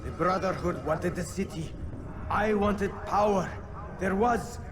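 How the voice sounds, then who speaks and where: High, slow-orating zealous tyrant. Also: male voice, background noise. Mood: gloating, unrepentant. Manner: declamatory, drawn-out, grandiose. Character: zealous, cruel crusade-era tyrant preaching to a crowd. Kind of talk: video game dramatic acting, oratory. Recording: loud music behind.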